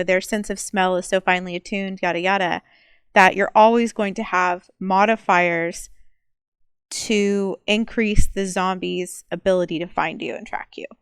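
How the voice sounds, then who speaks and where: Clear-voiced woman, dry close sound. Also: mid-range voice, no echo. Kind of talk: casual panel discussion.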